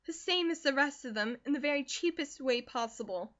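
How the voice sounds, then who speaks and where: A woman's voice: high voice, animated, a little fast, fairly bright tone, pitched above the performer's own voice. A woman reading aloud and voicing an anxious, earnest teenage schoolgirl who pleads in distress, in a dull lo-fi recording. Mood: pleading.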